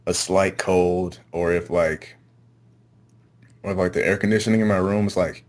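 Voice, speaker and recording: A man with a low-pitched voice gives casual interview answers. He is low-key and a little hesitant, feeling his way through each reply. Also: steady tone, normal speed. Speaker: amateur voice actor, interview guest.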